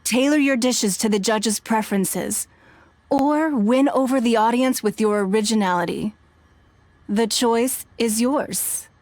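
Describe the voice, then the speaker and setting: A woman's voice: on the high side, a little mellow, no echo, natural voice. A woman voicing a poised, authoritative noblewoman. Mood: composed. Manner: measured, composed, formal.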